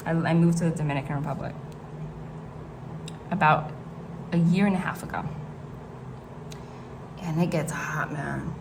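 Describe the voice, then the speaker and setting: A woman with a northeastern American accent, from the New Jersey and New York City area, talks through a tarot reading in a loose, rambling way, full of "like" and restarts. Her voice sits a little low for a woman. A faint hiss of room noise stays under her the whole time. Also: steady tone, unhurried, creaky, long pauses. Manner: chatty, rambling, frequent "like" and restarts. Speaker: tarot reader. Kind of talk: casual tarot reading to camera. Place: hot room at home.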